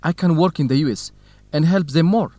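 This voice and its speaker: A man's voice: fairly high pitch, brisk, dark tone, no room sound, close to an everyday voice. An actor playing a dutiful, determined young man from Ethiopia in a radio drama for English learners. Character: young Ethiopian farm son, dutiful, determined, quietly lovestruck.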